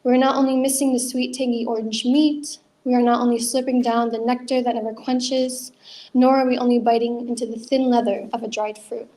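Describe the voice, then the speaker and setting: Woman reading poetry, fairly high voice. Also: level tone, dark tone. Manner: earnest, measured reading with chatty asides. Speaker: poet at open mic. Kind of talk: spoken word poetry reading.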